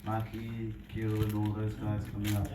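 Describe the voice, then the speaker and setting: A man with a sore throat giving a rambling, relaxed thank-you speech as team organiser. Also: on the low side, moderate pace. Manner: rambling and relaxed with many fillers. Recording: distant echoey noisy room recording.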